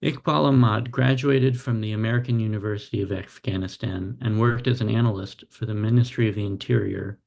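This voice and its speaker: A man's voice: on the low side, vocal fry, a little mellow, highs cut off. A man hosting a webinar over a video call and reading a formal scripted introduction. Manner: formal, read-out welcome. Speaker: webinar host.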